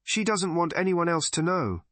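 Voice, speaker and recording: A man's voice: fairly high pitch, expressive, normal speed, fairly bright tone, no echo, voice pushed up. A man voicing a young female servant, deferential and cautious, in an audiobook. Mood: alarmed.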